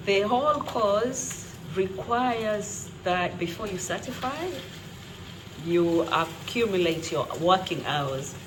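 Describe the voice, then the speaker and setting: A woman's voice: lowish voice, noisy background. A woman in her thirties from Kenya with a Kenyan accent, talking casually to camera at home and laughing often. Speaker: vlogger. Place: quiet home.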